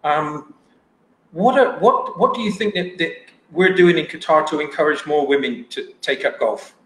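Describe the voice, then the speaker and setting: A man's voice: on the high side, normal speed, a bit bright. A man hosting a podcast, asking casual interview questions and often restarting or repeating his words. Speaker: podcast host. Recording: roomy echo, faint background noise.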